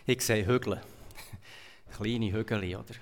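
A man from Switzerland with a Swiss German accent, preaching a sermon in a storytelling manner, swinging between loud emphasis and quiet asides. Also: on the low side, slow pace. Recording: reverberant hall.

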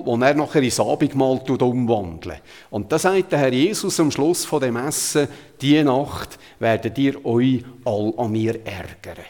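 A man's voice: mid-range voice, a little mellow. A man with a Zurich Swiss German accent preaches a sermon in a conversational, emphatic way, retelling Bible passages. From Zurich, Switzerland. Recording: long hall reverb.

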